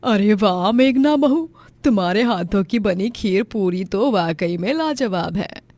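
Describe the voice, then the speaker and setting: A woman's voice: mid-range voice, animated, unhurried, a little mellow, no room sound. A woman voicing a matter-of-fact mother-in-law who appraises her daughters-in-law in a family story. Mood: appraising. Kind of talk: dramatized Hindi family story.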